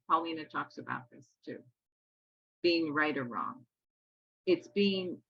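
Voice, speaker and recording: Low-pitched woman on a dull, dry recording. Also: bright, forceful tone, no room sound. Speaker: co-host. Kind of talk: casual discussion.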